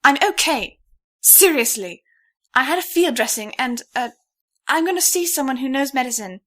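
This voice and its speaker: A woman voicing a young, trail-worn female pony brushing off concern, brisk and defensive. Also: high voice, lively intonation, fairly bright tone, pitched above the performer's own voice. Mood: evasive.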